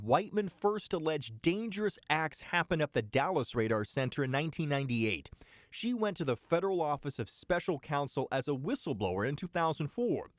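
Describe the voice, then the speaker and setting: Animated higher-pitched American male news narrator. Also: dry recording. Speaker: investigative TV news reporter. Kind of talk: scripted investigative news narration. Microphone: close studio voice-over microphone.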